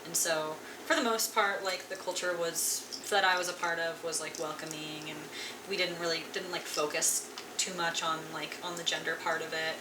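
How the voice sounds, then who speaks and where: A woman's voice: on the low side, moderate pace. A young woman musician from Colorado, chatting casually in an interview with lots of "like" fillers. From Colorado, USA. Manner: relaxed, filler-heavy, frequent "like". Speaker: guest musician. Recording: echoey room, distant mic, noisy.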